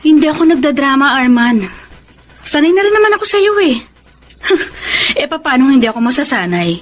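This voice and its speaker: A woman's voice: high voice, very animated, moderate pace. A woman playing a long-suffering, resentful middle-aged wife and mother in a radio drama. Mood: hurt, sarcastic.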